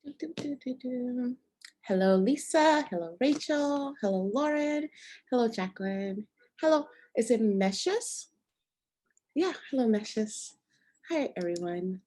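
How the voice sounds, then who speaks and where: A woman hosting a video-call meeting, speaking in a relaxed way with many pauses and "um"s. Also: a little high. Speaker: host, board member and nanny agency owner.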